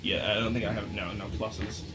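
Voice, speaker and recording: A man's voice: fairly low pitch, little pitch movement, moderate pace. A man chatting and joking casually as a player in a tabletop game. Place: in-person game session in a room. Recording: clear background room noise.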